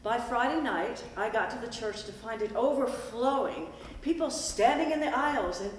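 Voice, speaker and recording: A woman's voice: on the high side, lively intonation, normal speed, close to an everyday voice. Woman playing gracious 1920s lady organizer, echoey hall. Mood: storytelling. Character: Miss Butler, earnest gracious woman school organizer of the 1920s. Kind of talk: amateur stage play, narrating and acting. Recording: distant echoey hall recording, background noise.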